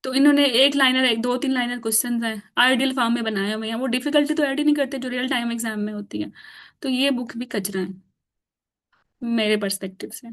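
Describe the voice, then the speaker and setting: A woman's voice: fairly high pitch, steady tone, a little fast, a bit bright. A woman whose first language is Urdu, teaching an informal online class in a chatty way, laughing often and mixing in English. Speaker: course teacher.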